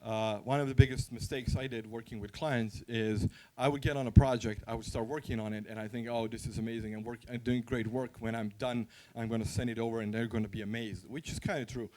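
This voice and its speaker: A man's voice: medium pitch, fairly even intonation, brisk, hardly any pauses, warm tone, low-quality recording. Croatian-accented man giving an informal talk. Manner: candid, conversational, anecdotal. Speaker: conference speaker and freelancer.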